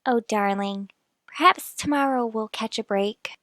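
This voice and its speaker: A woman acting in an old-time radio drama as a gentle, worried, devoted wife and mother, tender and earnest. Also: fairly high pitch, unhurried, dry recording. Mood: tender reassurance.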